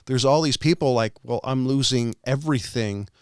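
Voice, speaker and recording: A man's voice: medium pitch, normal speed, clear, resonant tone, no echo. A man from California making his case on a podcast, earnest and a little argumentative. His voice sits mid-range and sounds dry and close to the mic. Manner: argumentative, earnest, poses questions. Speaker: podcast co-host. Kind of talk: casual chat.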